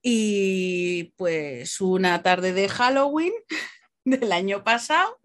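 A woman's voice: medium pitch, extremely slow. A woman from the Basque Country, telling personal stories casually as a podcast guest, acting out bits of dialogue. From Basque Country, Spain. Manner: chatty, animated retelling with quoted dialogue.

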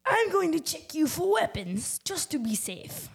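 A woman's voice: sits high, sing-song, measured pace, vocal fry, heavily acted. A young girl playing a bossy, suspicious police detective who questions bluntly in an amateur radio play. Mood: commanding. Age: child or teenager.

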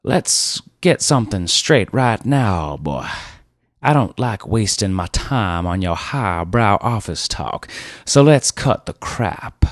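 A man voicing a boorish, overbearing heavyset car dealer who talks loudly and bossily in a drawl. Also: lowish voice, lively intonation, slow pace, no echo, clean studio sound. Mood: bossy. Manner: loud, bossy, drawling.

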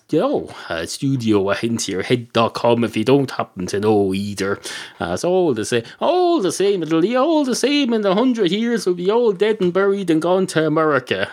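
Podcast host, male, a little hoarse, rambling and absurd, very lively pitch swings. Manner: meandering, absurd, swearing, deadpan asides. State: slightly hoarse. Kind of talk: rambling solo podcast monologue.

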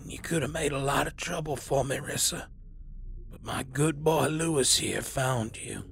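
An actor playing a withered, sinister old serial killer in an audio drama, with a raspy, smoke-worn voice and a deliberate, menacing delivery. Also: male voice, mid-range voice, fairly bright tone, a little hiss or hum. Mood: quiet menace. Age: older.

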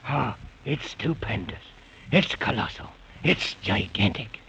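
Gravelly, grumbling New steed, Gorgonzola. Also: male voice, mid-range voice, expressive, slow pace. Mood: sarcastic awe. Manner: griping, melodramatic catchphrases. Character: grumbling, complaining comic steed named Gorgonzola. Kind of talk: 1930s children's radio serial acting. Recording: scratchy, muffled 1938 radio recording.

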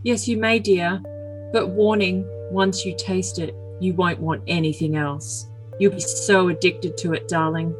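A woman's voice: fairly low pitch, steady tone, a little slow, close to an everyday voice, rough recording. A woman voicing an earnest, besotted young man with a solemn, stilted manner in an overly dramatic comic message reading. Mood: besotted, suggestive. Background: music.

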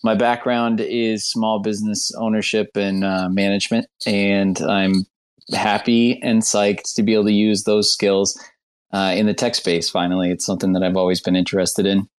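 A man's voice: lowish voice, level tone, normal speed, clean tone. A man from the United States, a product lead speaking on a panel in an earnest, easygoing way. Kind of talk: casual panel chat.

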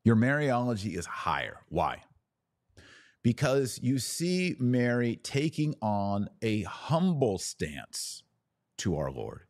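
A man hosting a podcast, giving a devotional theology talk with emphatic, deliberate phrasing and pauses for effect. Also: mid-range voice, big pitch swings, darkish tone, no echo, clean studio sound.

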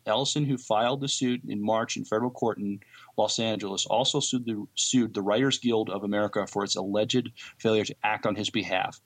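A man co-hosting a podcast, reading news items aloud and adding casual comment. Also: lowish voice. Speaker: podcast co-host.

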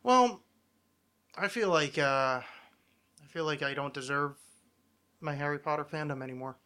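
A man's voice: on the high side, measured pace, bright, forceful tone, clear, resonant tone. A man chatting casually as a podcast host, hesitant and thinking aloud, often self-critical and swearing freely. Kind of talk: casual chat, answering trivia questions.

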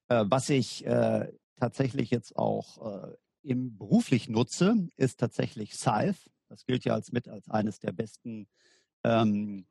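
Interview guest: German man, gravelly and a bit husky, explains in a hesitant way with fillers. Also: mid-range voice, darkish tone, dry recording. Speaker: guest, war gaming lecturer. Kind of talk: podcast interview.

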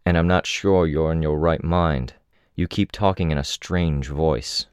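Man playing a very deep, stoic hero. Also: unhurried, clean tone, no echo. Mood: defiant. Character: stoic, stubborn male hero field leader.

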